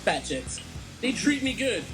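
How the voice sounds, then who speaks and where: Male clownish tattooer, high-pitched, crude joking delivery, clear guitar bed. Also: very animated, a little slow. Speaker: tattoo artist. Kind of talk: joking reality show interview. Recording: guitar music behind the voice. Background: guitar music.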